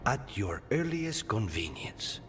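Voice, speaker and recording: A matter-of-fact assassin in a fantasy game. The voice is deep and deliberate, understated, with faint music behind. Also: male voice, big pitch swings, fairly bright tone, exaggerated voice. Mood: dry, reserved. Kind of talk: video game acting.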